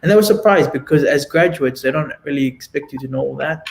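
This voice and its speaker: A man's voice: on the high side, quick pace, creaky, poor audio. A man, an interview guest on a video call, speaking earnestly and repeating phrases for emphasis. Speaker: interview guest, software developer.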